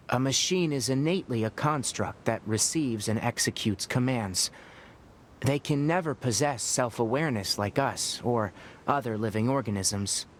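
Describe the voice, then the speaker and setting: An actor voicing a calm young scholarly male forest ranger in a video game, speaking in a measured, thoughtful, gently lecturing way. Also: mid-range voice, slight background noise, close to an everyday voice.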